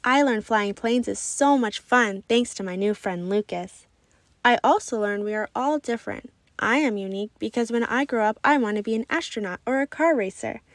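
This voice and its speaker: A woman reading a children's book aloud in an expressive, animated storytelling style. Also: on the high side, normal speed, no room sound. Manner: animated, acts out children's dialogue.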